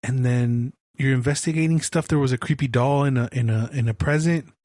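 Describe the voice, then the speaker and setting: A man's voice: mid-range voice, fairly even intonation, brisk, darkish tone, no echo, studio quality. A man co-hosting a casual podcast, losing his voice and coughing now and then, blunt and swearing freely. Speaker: podcast co-host. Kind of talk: casual chat.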